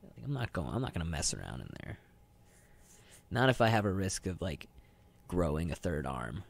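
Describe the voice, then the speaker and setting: A man's voice: low-pitched, big pitch swings, normal speed, creaky. A young man co-hosting a radio show in loose, rambling casual chat peppered with "like". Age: young adult. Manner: rambling, says "like" constantly. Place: radio studio.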